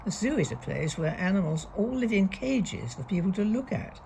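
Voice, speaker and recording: Woman storybook reader for young children, very creaky voice, lively melodic swings, emphatic key words, faint background noise. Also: medium pitch, rough recording. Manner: deliberate, expressive read-aloud with emphasised key words. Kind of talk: reading a young children's picture book aloud.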